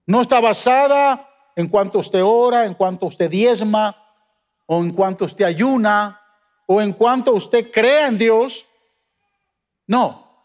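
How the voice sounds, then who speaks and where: A Guatemalan man preaching a sermon as pastor, with emphatic rises and sudden soft drops. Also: sits high, big-room sound. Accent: Guatemalan Spanish. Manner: emphatic preaching, swings between loud peaks and soft drops.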